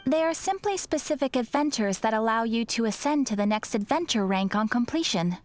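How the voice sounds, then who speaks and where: An actress playing a polite young guild receptionist in a video game, explaining things in a courteous, formal and measured manner. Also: female voice, a little high, lively intonation, no room sound, lightly acted. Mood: formal, welcoming. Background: game music.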